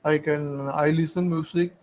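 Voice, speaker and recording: Guy practicing english on a video call, slow halting phrases, kinda muffled lo-fi sound. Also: fairly high pitch, steady tone, a little hiss or hum. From southern India. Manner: halting, simple phrasing with many pauses. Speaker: English learner. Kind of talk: casual conversation practice.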